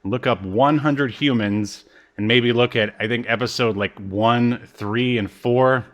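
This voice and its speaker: A man's voice: medium pitch, measured pace, highs cut off. A man chatting casually as a podcast host, talking in a rambling, wry, self-deprecating way. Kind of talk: casual chat.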